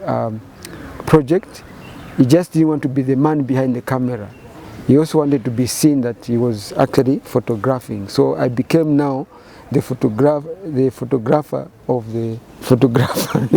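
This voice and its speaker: A Zambian man in his fifties speaks slowly and thoughtfully, stopping often and repeating words as he gathers his thoughts. His voice is mellow and dark. Faint traffic noise hums behind him. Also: medium pitch, gravelly. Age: 50s. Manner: measured, halting phrasing with repeated words and fillers. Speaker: painter and photographer interviewee. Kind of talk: reflective interview answers.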